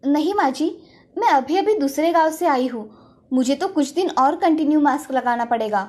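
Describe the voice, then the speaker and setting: A woman's voice: high voice, expressive, normal speed, dark tone. A woman voicing a meek, anxious young daughter-in-law who speaks deferentially in a storybook tale. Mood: pleading, apologetic. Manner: pleading, deferential.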